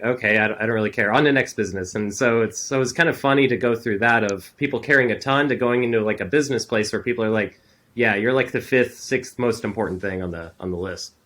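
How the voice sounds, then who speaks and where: A man co-hosting a podcast, explaining his views at length in a relaxed, reflective way. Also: mid-range voice. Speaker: podcast co-host, designer. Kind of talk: casual podcast conversation.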